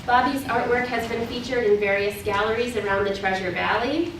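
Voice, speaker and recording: A woman's voice: on the high side, brisk. A woman moderating a symposium, reading out speaker introductions with a few fillers. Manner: warm, welcoming introductions with occasional fillers. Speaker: event moderator. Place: college symposium hall. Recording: echoey hall, mic far off, noisy.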